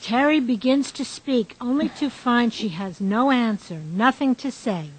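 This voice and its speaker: A woman's voice: fairly high pitch, a little slow, breathy edge, poor audio. A woman reading stage directions as the scripted narrator of a radio drama.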